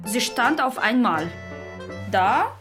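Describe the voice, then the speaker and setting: Interviewee: woman with a lightly high, expressive voice, taking her time as she recollects. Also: slow, music in the background. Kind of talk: spontaneous personal recollection and retelling.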